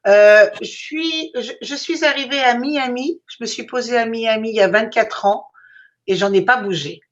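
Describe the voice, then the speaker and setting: A woman's voice: on the high side, moderate pace. Chatty middle-aged woman on a dull line. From Paris, France. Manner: chatty, joking, hesitant with fillers. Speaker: guest. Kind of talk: casual chat.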